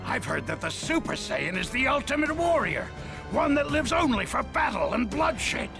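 Theatrical, high-pitched alien villain captain. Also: male voice, sing-song, moderate pace, creaky, music in the background, heavily acted. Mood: wary, probing. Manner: theatrical, shouting, gloating. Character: flamboyant, boastful alien villain captain, male, scheming. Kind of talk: anime game dub acting.

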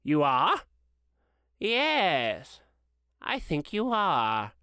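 A storybook reader voicing a stern old boss who growls deliberately at an employee. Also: mid-range voice, huge pitch swings, pushed and bright, clear, resonant tone, no echo, clean studio sound, full character voice. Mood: mock stern. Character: feigned gruff, stern old boss scolding his clerk. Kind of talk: dramatic storybook character reading.

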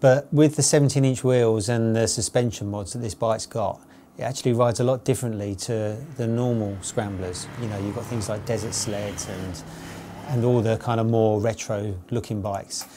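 A man's voice: fairly low pitch, fairly even intonation, normal speed, mellow, slight background noise. A man in his forties giving an unscripted, matter-of-fact spoken review as a motorcycle road tester. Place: studio with dark backdrop, seated at table.

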